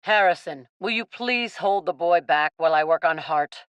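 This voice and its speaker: A woman's voice: lowish voice, moderate pace, fairly bright tone, clear, resonant tone, no echo, lo-fi sound, natural voice. A woman playing a falsely kind, scheming captain in a radio play, with a sugary, insincere manner. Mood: sly, coaxing.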